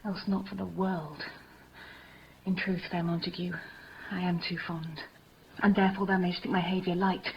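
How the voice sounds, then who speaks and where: A woman's voice: on the low side, natural voice. Woman to play a young noblewoman in love, impulsive and tender, classical verse for old radio; dull, noisy period recording. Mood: tender, confiding. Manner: breathy, theatrical verse delivery, often hushed. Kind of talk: 1950s radio Shakespeare acting. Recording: noisy, dull vintage 1950s radio broadcast.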